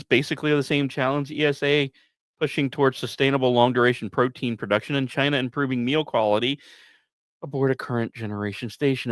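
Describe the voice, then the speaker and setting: A man's voice: medium pitch, dry recording, clean studio sound. A man hosting a podcast, narrating science news from notes with casual, wry asides. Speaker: podcast host.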